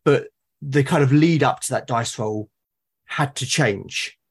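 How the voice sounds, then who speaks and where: A man's voice: mid-range voice, takes it slowly, slightly rough. A man in a conversational interview as a podcast guest, explaining his creative process and often repeating words as he thinks aloud. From Britain.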